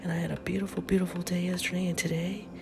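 A woman's voice: on the low side, level tone, very fast, gravelly. A middle-aged woman hosting a podcast in a casual, upbeat morning chat, often half-whispering, with a stuffy nose from a head cold. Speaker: podcast host.

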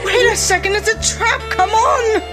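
Impatient, sensible teenage cartoon heroine, played by a woman, high and commanding, set against prominent music. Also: fairly even intonation, normal speed. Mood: exasperated. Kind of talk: anime fandub acting.

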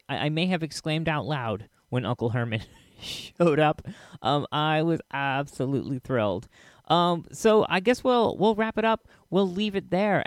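A man hosting a solo podcast in a casual, halting and enthusiastic way. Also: a little high, no room sound, clean studio sound. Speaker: podcast host.

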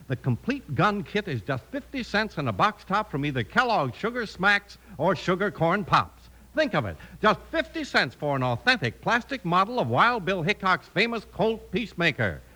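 A man's voice: high-pitched, pushed and bright. An American man announcing and narrating in an emphatic, dramatic 1950s radio style. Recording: old-time radio recording.

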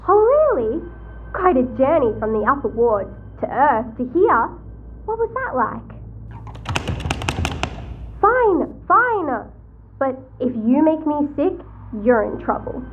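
A woman's voice: sits high, big pitch swings, slow, pauses often, narrow, tinny audio, music in the background, heavily acted. A woman acting in an audio drama as a chatty, blunt, sheltered young girl, playful and frank. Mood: bossy, playful.

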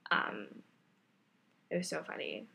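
A young woman co-hosting a podcast in casual chat, with a loud voice that projects and an energetic way of talking. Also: slow, slight background noise. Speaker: podcast co-host.

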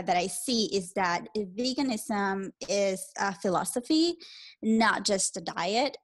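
A woman from Peru, a guest in a casual interview, upbeat and earnest as she explains, with some fillers. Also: medium pitch.

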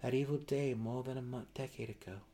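Fantasy audiobook lead: noble male dark elf, earnest and formal, measured delivery, performed by a male narrator. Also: mid-range voice, steady tone, pitched above the performer's own voice, natural voice. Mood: gently pressing.

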